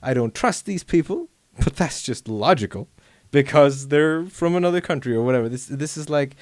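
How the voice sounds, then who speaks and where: A man's voice: a little high, animated, normal speed, no room sound, studio quality. A man hosting a podcast in casual chat. He rambles, uses many fillers and laughs easily. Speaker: podcast host.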